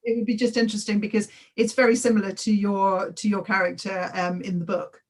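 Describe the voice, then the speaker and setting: A woman interviewing an author at a literary festival, asking questions politely and in a measured way. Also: medium pitch, flat delivery.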